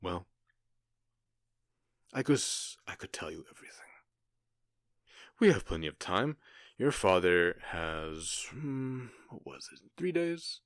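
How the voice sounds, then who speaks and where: Man playing a deep, slow-talking, menacing gang boss. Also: big pitch swings, no room sound, studio quality, exaggerated voice. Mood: smug, taunting. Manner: theatrical, drawn-out pauses, mock-polite menace. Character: menacing male outlaw gang boss, smug, taunting, cruel. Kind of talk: ASMR roleplay monologue.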